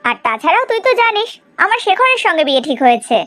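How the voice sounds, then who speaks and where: A woman's voice: extremely high pitch, very animated, fast talker, a bit bright, faint music behind, heavily acted. A woman playing a blunt, disdainful young woman and loyal friend in a cartoon drama, with brash, emphatic delivery. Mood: disdainful, protective. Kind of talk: Bengali cartoon drama acting.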